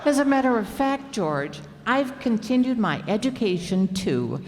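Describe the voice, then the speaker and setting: A woman's voice: on the high side, very animated, a little slow, background noise. A woman playing an affected, self-important lady poet, gushing and condescending, in an old-time radio comedy. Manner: pompous, gushing. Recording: echoey, reverberant hall.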